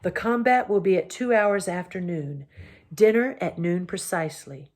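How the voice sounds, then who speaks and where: A woman voicing a young boy king who speaks gravely, fairly and kindly. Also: lowish voice, lively intonation, moderate pace, a little mellow, natural voice.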